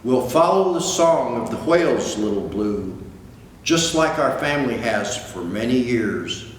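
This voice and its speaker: An older man voicing a big, gentle, reassuring father whale in a patient, measured storybook manner. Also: medium pitch, lively intonation, lightly acted. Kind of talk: storybook read-aloud character voice. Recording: distant, very echoey hall, faint noise.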